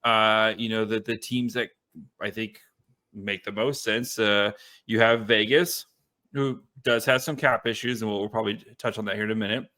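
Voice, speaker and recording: A man's voice: fairly low pitch, steady tone, normal speed, pushed and bright. A man co-hosting a sports podcast, chatting through his analysis in a loose, rambling, informal way. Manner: rambling, loose, peppered with "like" and "right".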